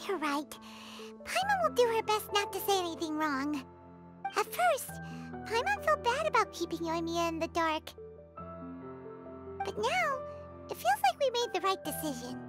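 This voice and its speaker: A woman voicing a small, childlike fairy companion with a squeaky, expressive delivery in a video game. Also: a little slow, pauses often, heavily acted. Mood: resigned, earnest. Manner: speaks of herself in third person, expressive. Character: small floating fairy girl companion, childlike, chirpy, fretful. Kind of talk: English video game voice acting. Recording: music behind game dialogue.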